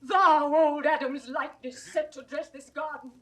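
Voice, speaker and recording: A woman's voice: high-pitched, steady tone, normal speed, a bit bright. An actress in a 1960s Shakespeare audio drama playing a proud, anguished queen with theatrical, impassioned delivery. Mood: outraged. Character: proud, anguished queen, imperious and grief-stricken.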